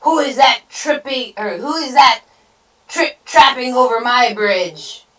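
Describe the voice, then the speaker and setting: Heard a little way from the mic, a slobbering, greedy troll acted by a woman bellows and roars. He is a bullying storybook monster, pitched up and loud. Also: sing-song, slow pace, recorded from a distance. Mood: roaring, greedy. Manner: roaring and bellowing. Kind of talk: children's storybook read-aloud.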